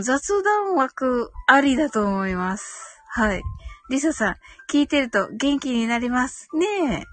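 A woman's voice: on the high side, a bit bright. A woman hosting a casual live audio chat, giggly and chatty, reading out comments and murmuring agreement. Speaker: host of a live audio stream.

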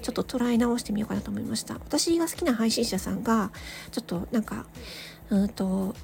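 A woman hosting a solo audio show, chatting casually and reflectively to listeners, thinking aloud with hesitant pauses. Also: a little high, vocal fry, darkish tone, a little hiss or hum. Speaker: solo audio channel host, amateur voice actor.

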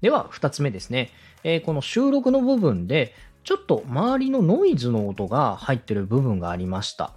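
Podcast host, man, animated pitch swings and slightly rough tone, courteous and methodical advisor. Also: normal speed, hardly any pauses, soft background music. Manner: polite, explanatory, methodical. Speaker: podcast host, radio director coaching a co-host. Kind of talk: structured advice talk for a podcast.

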